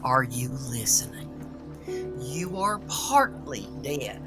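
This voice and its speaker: Bossy, bright-voiced underworld boss. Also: female voice, very deep voice, very animated, soft background music, full character voice. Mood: grim, firm. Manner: authoritative, deliberate, scolding. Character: bossy, exasperated female chief of underworld soul trackers. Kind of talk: scripted audio drama acting.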